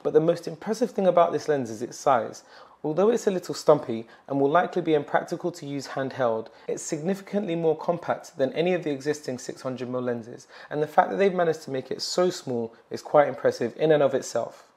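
A young man with a slightly higher voice reads a scripted report on new camera and printing technology. His narration is steady and informative, like a retailer's product presenter. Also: a little fast. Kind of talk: scripted tech show voice-over. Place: large indoor expo hall. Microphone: lapel mic.

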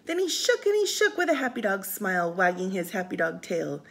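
A woman reading a picture book aloud for storytime, expressive and sing-song, with casual asides. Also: medium pitch, brisk. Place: indoors, room by a window.

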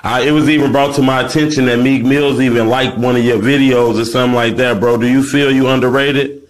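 A laid-back man interviews a guest on the street, speaking in an easy, casual way and calling him "bro" often. His voice sits at a middle pitch, and the sound is lo-fi and slightly radio-like. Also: steady tone, brisk. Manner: laid-back, keeps saying "right" and "bro". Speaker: street reporter host.

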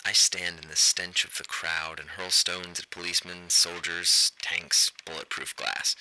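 A man's voice: low-pitched, bright, forceful tone, heavily acted, rough recording. An actor playing a grim, self-loathing, disillusioned man, giving an intense, declamatory monologue in a radio play.

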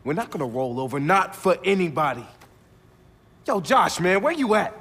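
A man's voice: high voice, big pitch swings, moderate pace, fairly bright tone, breathy edge, heavily acted. A man playing a confident young hacker leader in a video game, casual and slangy but determined. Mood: determined, fired up. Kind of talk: video game cutscene acting.

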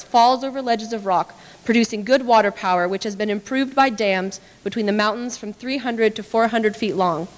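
Young woman reading formally in an echoey hall. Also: a little high. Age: young adult. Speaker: dramatic reader. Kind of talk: formal read-aloud of historical documents. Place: large brick-walled hall with stage, indoors. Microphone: podium microphone. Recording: echoey hall with long reverb.

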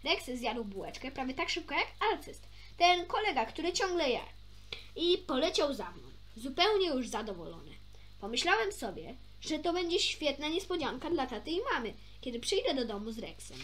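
A woman's voice: sits high, big pitch swings, normal speed, fairly bright tone. A woman reading a children's story aloud expressively, occasionally stumbling and rereading a phrase.

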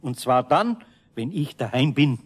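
An older actor playing a kindly, firm master carpenter in a 1970s radio play. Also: male voice, medium pitch, very animated, a little slow, breathy edge. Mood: fatherly. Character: older kindly master carpenter, gruff but good-natured.

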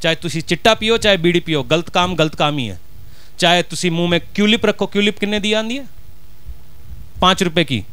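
A man's voice: high voice, brisk, sharp tone, clean tone. A middle-aged man with a Punjabi accent, a pastor preaching a sermon from a church stage with a handheld mic, driving his points home with rhetorical questions. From Punjab, India.

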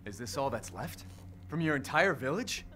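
An actor playing a wary outsider man who speaks low and guarded, with dry humor. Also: expressive, moderate pace, very noisy, lightly acted.

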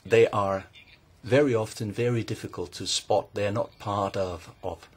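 A Norwegian man with a Norwegian accent, giving a measured, halting formal answer as a parliamentarian at a hearing. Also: fairly low pitch, steady tone, breathy edge. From Norway.

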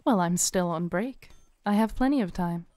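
A woman playing a kindly, knowing tarot reader at a Renaissance faire, speaking softly, smoothly and soothingly to the listener in an audio roleplay. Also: fairly low pitch, dry recording, lightly acted. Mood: playful, warm. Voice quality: smooth, soft. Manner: unhurried, soothing, theatrical, with dramatic pauses. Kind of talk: soft-spoken audio roleplay addressed to the listener. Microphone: close studio mic, dry.

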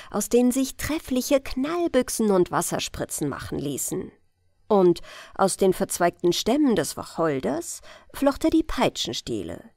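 A woman with a German accent reading a fairy tale aloud in a calm, gentle bedtime style, in a lilting storyteller manner. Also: medium pitch, no echo, clean studio sound. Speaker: audiobook reader.

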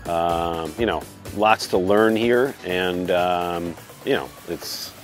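A sailor man answering interview questions after racing, halting with many fillers. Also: deep voice. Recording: music bed behind.

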